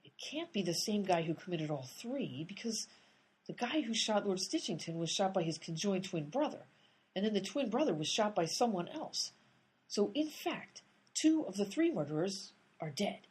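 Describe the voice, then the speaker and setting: An impatient amateur detective, played by a woman, talks briskly and sounds exasperated in a comedy radio play. Her voice is husky and breathy, with lively, swinging pitch. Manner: brisk and exasperated, pushing the case forward.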